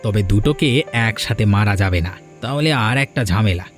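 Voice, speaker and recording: A man's voice: lowish voice, lively intonation, fairly quick, faint music behind, close to an everyday voice. A man voicing a vengeful, scheming village fisherman who plots a beating in a cartoon. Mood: plotting. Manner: plotting, menacing. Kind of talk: cartoon voice acting.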